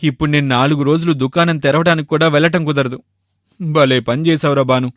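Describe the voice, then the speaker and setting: A man's voice: a little high, steady tone, fairly quick, no echo, clean studio sound, close to an everyday voice. A man voicing a fussy, food-loving bachelor shopkeeper in a story, bossy and given to complaining. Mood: angry, pained.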